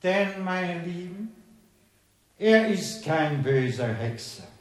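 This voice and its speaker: In a roomy, echoing space, a well-fed village priest in a radio drama. His preaching is unctuous and self-satisfied, delivered slowly with big rises and falls in a fairly high male voice. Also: lively intonation. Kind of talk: German radio play acting. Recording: roomy echo around the voice.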